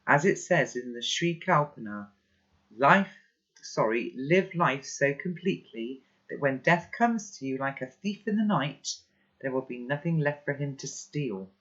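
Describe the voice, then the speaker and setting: A reader voicing a calm, wise elderly grandfather who speaks slowly and thoughtfully, in a slightly muffled recording. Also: mid-range voice. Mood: calm, gently wise. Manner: slow, measured, philosophical.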